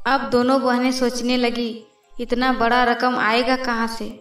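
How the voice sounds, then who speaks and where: A woman's voice: a little high, flat delivery, measured pace, fairly bright tone. A woman reading scripted narration for a moral fairy tale cartoon. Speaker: storyteller. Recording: roomy, distant voice over music.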